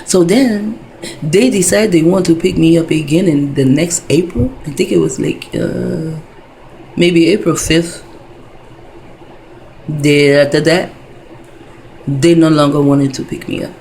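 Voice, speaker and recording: A woman's voice: low voice, lively intonation, a little slow, long pauses, a little mellow, a little hiss or hum, poor audio. A woman in her fifties talking in a rambling casual monologue, wandering between thoughts, full of fillers and profanity. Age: 50s.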